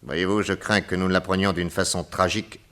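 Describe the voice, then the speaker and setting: An actor playing a calm, shrewd middle-aged detective in a radio drama, speaking in a measured, grave and deliberate way. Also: male voice, low-pitched. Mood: explaining. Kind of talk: 1940s radio acting.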